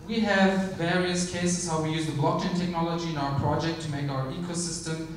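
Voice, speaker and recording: A man giving a startup pitch on stage, measured, with frequent ums and restarts. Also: fairly even intonation, slight background noise. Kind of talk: conference pitch. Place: large theater stage. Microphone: handheld mic, filmed from the audience. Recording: echoey hall from far off.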